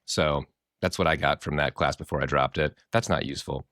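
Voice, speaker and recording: A man from the American Midwest, a therapist co-hosting a podcast, talking in a casual, expressive, rambling way with a hoarse voice and frequent swearing. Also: very deep voice, fairly quick, no echo, studio quality. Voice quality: hoarse from screaming.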